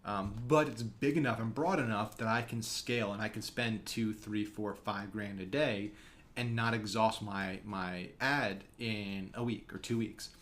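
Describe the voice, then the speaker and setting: A young man teaching an online course over a screen share, talking quickly and casually with frequent fillers. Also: on the low side, steady tone, fairly bright tone, a little hiss or hum. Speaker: course instructor. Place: small room, indoors.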